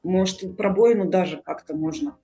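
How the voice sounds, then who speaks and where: A woman's voice: fairly low pitch, normal speed, dark tone. Woman podcast host thinking aloud over music. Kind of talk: casual discussion, explaining ideas.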